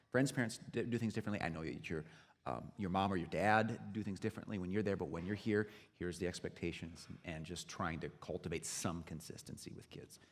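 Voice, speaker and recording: A man with a very low voice moderates a parenting Q&A panel in a church auditorium. He talks in a relaxed way with joking asides, and his voice trails off in the long reverb of a big hall.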